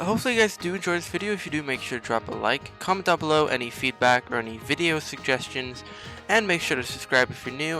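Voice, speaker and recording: Male gaming host, mid-pitched voice, instructional step-by-step walkthrough delivery, clear music bed underneath. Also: bright, forceful tone, low-quality recording. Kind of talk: game tutorial commentary.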